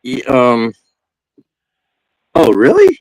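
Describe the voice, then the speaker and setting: A man's voice: medium pitch, wildly swooping pitch, drawn-out words, a little mellow, no echo, rough recording. A man co-hosting a livestream, with a nasal, slightly whiny voice, chatting casually and laughing often. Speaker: livestream co-host. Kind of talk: casual chat.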